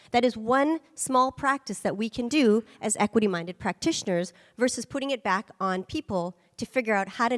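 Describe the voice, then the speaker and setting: A woman's voice: medium pitch, long reverb tail. A woman giving a conference presentation, speaking emphatically and checking in with rhetorical questions. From United States. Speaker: guest speaker.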